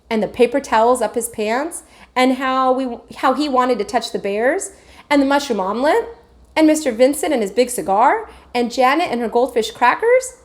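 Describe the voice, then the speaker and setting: A woman teacher reading a children's chapter book aloud with expressive, animated storytelling. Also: a little high.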